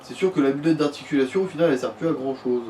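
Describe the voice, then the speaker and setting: A man's voice: mid-range voice, racing, dark tone. A man chatting casually as co-commentator on a game, slangy and wry. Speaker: let's play co-commentator. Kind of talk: casual chat over a game. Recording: noisy, slightly distant mic.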